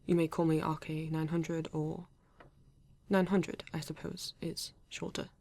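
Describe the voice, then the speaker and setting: A woman with a British accent playing a formal, reserved male android detective in an ASMR roleplay, speaking stiffly and deliberately with halting pauses. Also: low-pitched, little pitch movement, a little mellow. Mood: polite, reserved. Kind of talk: ASMR roleplay addressed to the listener.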